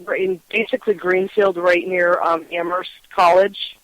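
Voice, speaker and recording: This is a woman from Western Massachusetts hosting a relaxed interview show. She talks with bubbling enthusiasm, wanders through her sentences and laughs easily. Her voice sounds dry and close, with no room around it. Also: fairly low pitch, moderate pace, no room sound, rough recording. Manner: enthusiastic, laughs often, rambling. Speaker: podcast host. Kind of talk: casual interview chat.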